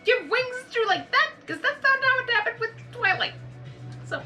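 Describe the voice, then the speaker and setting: A young woman hosting a reaction video, talking in a rambling, earnest, soft-spoken way with many fillers and restarts. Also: very high voice, animated, moderate pace, soft background music. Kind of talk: casual reflective monologue.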